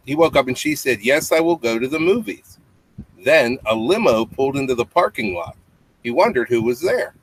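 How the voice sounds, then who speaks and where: A man's voice: mid-range voice, animated, moderate pace. A man in his fifties reading a children's bedtime story aloud, adding simple explanatory asides for young listeners. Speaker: storybook reader, parent. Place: small indoor home room with low tiled ceiling. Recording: clearly noisy home webcam audio with clicks.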